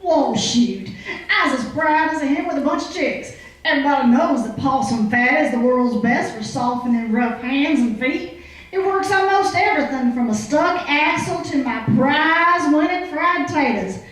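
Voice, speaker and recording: A woman's voice: high voice, animated, normal speed, fairly bright tone. A woman playing a proud, folksy country woman, giving a homespun testimonial in a radio comedy sketch. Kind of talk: live radio-show parody testimonial. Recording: echoey live stage recording.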